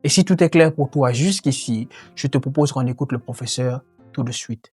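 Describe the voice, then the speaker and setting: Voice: mid-range voice, moderate pace, darkish tone, husky, dry recording, soft background music. A voice narrating a French-language lesson on learning English, earnest and explanatory. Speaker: language teacher.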